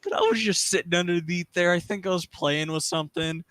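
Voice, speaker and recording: A man on a casual podcast, telling a childhood story quickly with giggles. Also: sits high, fairly bright tone, no echo, studio quality. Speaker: podcast co-host. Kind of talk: casual chat.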